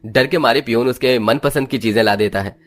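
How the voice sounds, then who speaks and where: Mid-pitched male story narrator. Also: brisk, fairly bright tone. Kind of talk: dramatic scripted story narration in Hindi.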